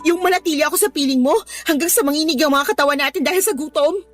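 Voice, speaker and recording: A woman's voice: high-pitched, very fast, soft background music, lightly acted. A woman acting an ambitious, scornful young girlfriend in a Filipino radio drama, with an emotional, cutting delivery. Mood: scornful, exasperated. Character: ambitious, scornful young girlfriend leaving her poor boyfriend.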